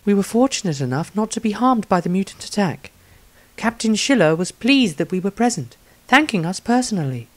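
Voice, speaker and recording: A man voicing a gentle, observant woman reading her diary in a fantasy audiobook, reflective and measured. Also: high voice, very animated, voice pushed up. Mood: thoughtful.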